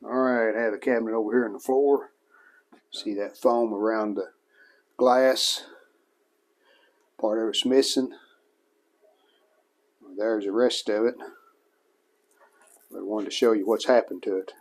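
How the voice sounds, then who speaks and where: A man with a fairly low voice talks through hands-on repair work in a casual, plain-spoken way. His pace is very slow and unhurried, as if thinking aloud while he works. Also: fairly even intonation, a little mellow. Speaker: hobbyist restorer presenting a repair video.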